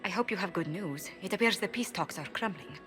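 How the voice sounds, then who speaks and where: Formal ambassador, expressive, diplomatic, faint music. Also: female voice, lowish voice, fairly quick. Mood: earnest. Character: principled female ambassador, proper and cautious. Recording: game dialogue over music.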